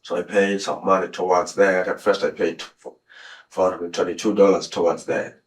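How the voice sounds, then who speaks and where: A South African man in his thirties, in a police interview room, recounting his story haltingly with many restarts. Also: sits low, flat delivery. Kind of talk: recounting events under questioning.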